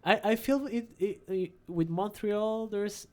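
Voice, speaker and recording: A man's voice: high voice, measured pace. A man from Peru with a Peruvian Spanish accent, chatting casually as an interview guest and searching for his words in English. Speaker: podcast guest, travelling artist.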